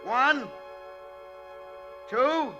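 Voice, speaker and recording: Hard, sharp-edged movie gangster. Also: male voice, very high voice, drawn-out words, lo-fi sound, voice pushed up, exaggerated voice. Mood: commanding, threatening. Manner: clipped tough-guy talk, tagging orders with "see?". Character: swaggering, menacing movie gangster, a clerk's daydream self. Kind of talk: 1940s radio acting. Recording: old radio broadcast with faint music.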